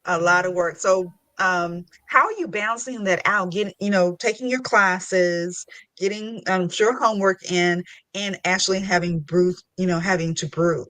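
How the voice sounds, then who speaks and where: A woman's voice: fairly low pitch, normal speed, fairly bright tone, no echo. A woman hosting a podcast, chatting casually and interviewing in a playful, effusive way. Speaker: podcast host.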